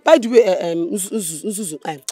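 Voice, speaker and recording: Brief: actress as a scornful Nigerian young woman, mid-pitched, cutting and dismissive. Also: brisk, dark tone, slightly rough, dry recording, clean studio sound, soft background music, natural voice. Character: sharp-tongued young woman brushing off a suitor. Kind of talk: film acting.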